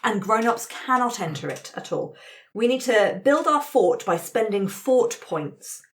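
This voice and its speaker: A woman's voice: on the high side, animated, unhurried. A woman facilitating a tabletop roleplaying game, explaining the rules to a group of friends in casual chat.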